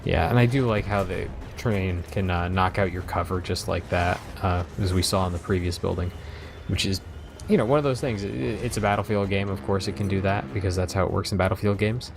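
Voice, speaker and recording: A man's voice: sits low, fairly quick, gravelly, noisy background. A man hosting a let's play, chatting casually as he comments on gameplay. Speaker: host of a video game let's play.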